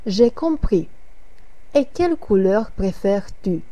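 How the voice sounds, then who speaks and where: A woman reading the part of Anne, an adult woman who likes simple sporty clothes, in a slow, scripted lesson dialogue. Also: medium pitch, lively intonation, warm tone. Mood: plain. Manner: careful, evenly paced reading.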